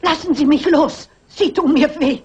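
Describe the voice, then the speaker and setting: A woman's voice: high voice, moderate pace, a little mellow, lo-fi sound, slight background noise, natural voice. An actress playing an elderly, shrewd amateur sleuth in a German radio crime drama, polite, composed and motherly in her firmness. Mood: indignant.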